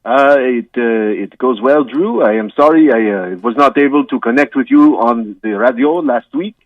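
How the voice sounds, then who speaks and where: A man voicing a bumbling male French film director with a French accent, calling in and stumbling through halting broken English full of fillers. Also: medium pitch, dry recording, natural voice. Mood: sheepish. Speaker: phone-in guest. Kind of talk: comedy sketch interview. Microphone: telephone. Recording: tinny, distant phone line.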